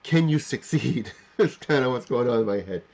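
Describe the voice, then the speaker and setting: Middle-aged man with animated, swinging pitch. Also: creaky. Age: 40s. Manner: halting, repeats and rephrases phrases, emphatic. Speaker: livestream host. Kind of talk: unscripted reflective monologue to live chat.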